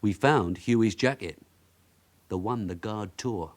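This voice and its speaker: An actor playing a shrewd, probing veteran police sergeant, in naturalistic radio drama style. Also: male voice, fairly low pitch, slow. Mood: coaxing, probing. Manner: probing, knowing, colloquial. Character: shrewd veteran London police detective sergeant, persistent, coaxing.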